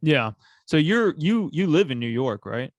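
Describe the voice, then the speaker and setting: A man's voice: on the high side, very animated, unhurried, dry recording. A man hosting a coffee podcast, asking curious questions in a laid-back, slangy way. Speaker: podcast host. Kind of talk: casual interview.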